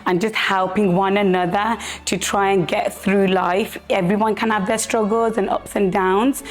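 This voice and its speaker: A woman from England, a workshop participant speaking enthusiastically and rambling with fillers. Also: medium pitch. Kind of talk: testimonial interview.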